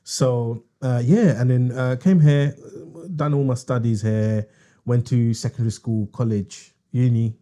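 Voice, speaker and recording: A man's voice: medium pitch, fairly even intonation, measured pace, warm tone. A man from Bangladesh, co-hosting a podcast in a laid-back, slangy way. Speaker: podcast co-host. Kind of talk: casual chat.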